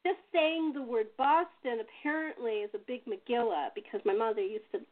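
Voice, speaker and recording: A woman from California chats casually in a fairly high voice. She tells anecdotes in a halting, conversational way. The sound is thin and narrow, like a phone call. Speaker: radio playwright in a cast chat. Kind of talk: casual chat, telling family anecdotes.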